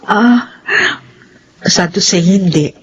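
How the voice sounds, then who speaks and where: A dutiful filipino wife, radio drama style, clear hiss and noise behind her. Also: medium pitch, drawn-out words, lo-fi sound. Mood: gentle, apologetic. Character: devoted, dutiful housewife and daughter, wronged.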